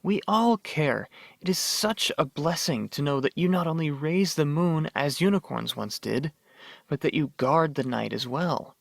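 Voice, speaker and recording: A man's voice: a little high, lively intonation, unhurried, creaky, no room sound, clean studio sound, exaggerated voice. A man voicing a kind, deferential young unicorn student in a radio drama, earnest and hesitant. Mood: reassuring. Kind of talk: fan-made radio drama acting.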